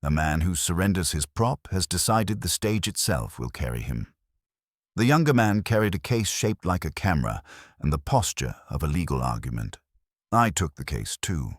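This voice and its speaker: Very deep-voiced male mystery narrator. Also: big pitch swings, normal speed, no echo, clean studio sound. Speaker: first-person story narrator. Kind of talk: scripted noir mystery narration.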